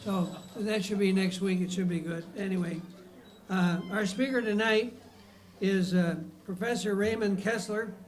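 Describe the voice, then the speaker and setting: A man's voice: high-pitched, fairly even intonation, unhurried. A man hosting a club meeting, reading announcements and introducing the guest speaker with hesitant fillers.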